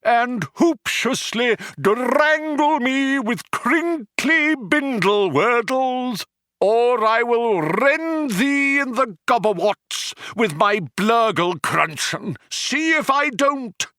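A man voicing a pompous, merciless alien male poet, with a whirring, strident voice that climbs high as he declaims. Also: animated, slow, pushed and bright, dry recording, studio quality, heavily acted. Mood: impassioned, declaiming.

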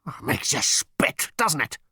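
A man's voice: fairly high pitch, wildly swooping pitch, gravelly, bright, forceful tone, no echo, clean studio sound, voice pushed up, heavily acted. A man voicing a huge, dim, blustering battle machine that bellows and is easily enraged, in an audiobook. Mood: blustering fury. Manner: bellowing, slow on the uptake. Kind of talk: audiobook character voice.

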